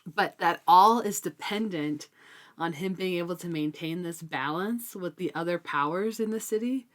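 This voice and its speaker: A woman's voice: on the low side, moderate pace. A woman co-hosting a book discussion, talking informally and conversationally as she thinks aloud. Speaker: book discussion podcast co-host. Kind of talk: casual book chat.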